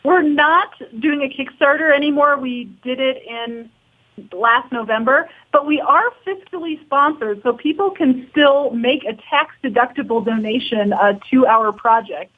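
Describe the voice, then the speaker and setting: Thin, phone-like audio carries a woman's fairly high voice. She is a documentary producer being interviewed, explaining informally and a little hesitantly. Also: a little slow. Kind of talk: casual interview talk. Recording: thin, phone-like narrow band.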